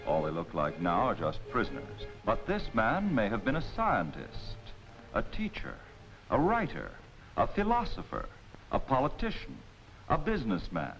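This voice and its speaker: An American man playing an authoritative, wry narrator of a 1940s military training film in a measured, rhetorical delivery. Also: on the low side, huge pitch swings. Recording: muffled old film soundtrack with music.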